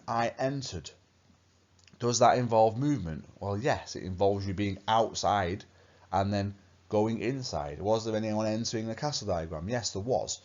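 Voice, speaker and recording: A man from England teaching a lesson over slides, patient and methodical, often repeating his guiding questions. His voice sits very low. The recording sounds dull and lo-fi. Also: a little mellow. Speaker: French teacher.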